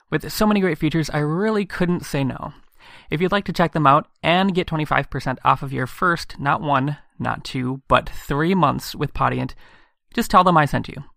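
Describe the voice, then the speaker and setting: A man hosting a podcast, reading scripted material in a measured, suspenseful storytelling manner. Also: a little high, creaky, fairly bright tone. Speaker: podcast host. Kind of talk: scripted eerie narration.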